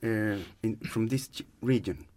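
Slow-talking man, English. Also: on the low side, fairly even intonation, darkish tone. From Chile. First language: Spanish. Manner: thoughtful, searching for words in a second language. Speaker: guest. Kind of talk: casual conversational interview.

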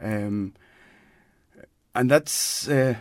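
Slow-talking man, English. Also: mid-range voice, no room sound, studio quality. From Chile. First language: Spanish. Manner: thoughtful, searching for words in a second language. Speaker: guest. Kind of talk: casual conversational interview.

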